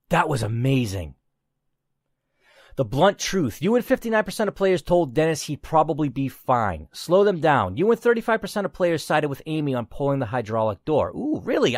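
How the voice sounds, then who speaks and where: A man hosting a game playthrough, moving between dramatic read-aloud storytelling and casual commentary. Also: mid-range voice. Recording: clear background noise.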